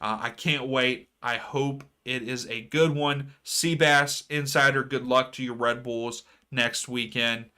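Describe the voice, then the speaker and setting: A man hosting a live soccer watchalong stream, chatting casually with viewers and ranting about fouls. His voice is going hoarse. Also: mid-range voice, steady tone, measured pace, few pauses, bright, forceful tone. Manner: chatty, reads chat aloud, rants about fouls. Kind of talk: casual live match commentary.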